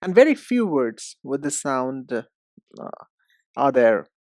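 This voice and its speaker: A man teaching English pronunciation in a deliberate, instructive way, speaking directly to the learner. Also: mid-range voice, big pitch swings, a little mellow, no echo, clean studio sound. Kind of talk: instructional lesson.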